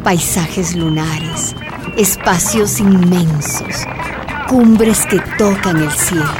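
A woman narrating a scripted radio docudrama in a measured, declarative manner. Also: on the low side, music in the background.

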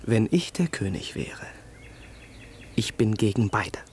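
A young actor playing a proud, defiant crown prince in a radio play, speaking in a haughty, self-assured way. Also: male voice, fairly low pitch, expressive, slow pace, darkish tone, slight background noise. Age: young adult.

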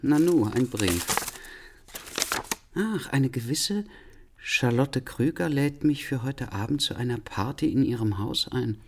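An actor playing a pedantic, easily exasperated traveller in a German radio drama, wordy and theatrically bewildered. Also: male voice, mid-range voice, lively intonation, unhurried, a little hiss or hum. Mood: courteous.

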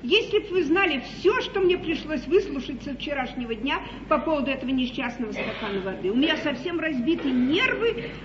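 A woman's voice: sits high, very animated, brisk. An actress playing an imperious but easily flustered English queen in a theatrical stage comedy, grand and plaintive. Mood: weary, plaintive. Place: theatre stage, before an audience. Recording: noisy, echoey, dull 1950s theatre recording.

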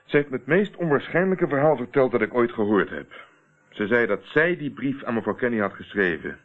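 An actor playing a steady, probing man who confronts a murderer in a radio play, speaking in a deliberate, controlled, accusing way. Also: mid-range voice. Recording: tinny, narrow-band old radio recording.

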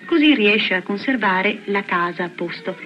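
A woman's voice: mid-range voice, animated, normal speed, lo-fi sound, soft background music. A woman reading formal scripted narration in a mid-century newsreel style, on an old film soundtrack.

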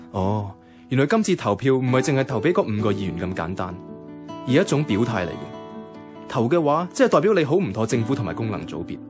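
A male actor as a young Hong Kong Cantonese man telling his own story in a radio drama. He is lovelorn and introspective, with an animated, swinging delivery at middle pitch over music. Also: lightly acted. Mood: wry. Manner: colloquial, introspective. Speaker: lead narrator. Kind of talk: first-person radio drama narration.

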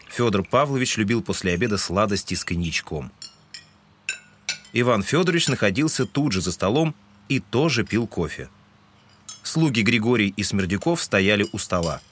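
A man's voice: lowish voice, a bit bright, slight background noise. A man narrating a literary audio drama in a scripted, dramatic storytelling style.

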